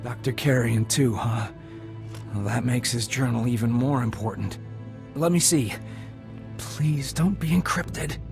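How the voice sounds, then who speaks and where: A man's voice: mid-range voice, measured pace, gravelly, music in the background. A man playing an anxious, lonely stranded survivor in a video game, hesitant and earnest in his speech. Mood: curious, thoughtful.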